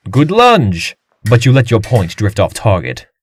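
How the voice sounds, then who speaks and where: A man's voice: on the low side, wildly swooping pitch, a little slow, a little hiss or hum. An actor playing a haughty, boorish fencing master in a comic dub, sneering and blunt as he taunts his pupil. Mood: stern, taunting.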